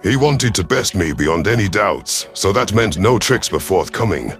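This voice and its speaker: Casting: male audiobook narrator, deep voice, measured and solemn sci-fi storytelling over faint music. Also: lively intonation, a bit bright. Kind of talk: scripted first-person sci-fi narration.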